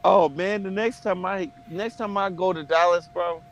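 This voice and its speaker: A man in casual group chat, telling stories in a profane, animated way. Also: high-pitched, normal speed, slight background noise. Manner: profane, animated storytelling with frequent laughs. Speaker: participant in an online hangout.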